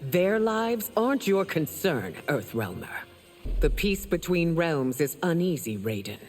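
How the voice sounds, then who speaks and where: Imperious empress, sweeping intonation. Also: female voice, fairly low pitch, sing-song, measured pace. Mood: stern, haughty. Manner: regal, formal, stern. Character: proud, imperious empress of a fantasy realm. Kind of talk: video game dramatic acting. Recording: long hall reverb, music behind.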